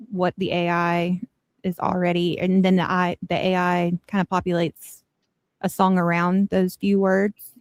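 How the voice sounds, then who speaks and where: A woman co-hosting a casual chat show, teasing and quick with questions. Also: fairly low pitch, smooth, dry recording. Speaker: co-host.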